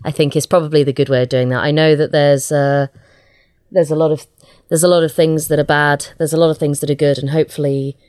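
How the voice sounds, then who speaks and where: A woman's voice: low voice, steady tone, a little mellow, clean tone, no room sound, clean studio sound. A woman being interviewed as an audio drama writer, chatting casually and often stopping to rephrase herself. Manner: halting, self-correcting.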